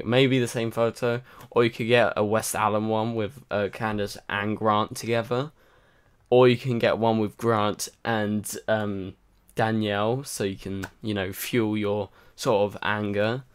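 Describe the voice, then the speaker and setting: A man's voice: fairly low pitch, clean tone. Tired, rambling young man livestreaming. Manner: rambling, chatty, many fillers. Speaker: livestream host. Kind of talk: casual livestream chat reading comments. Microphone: home microphone.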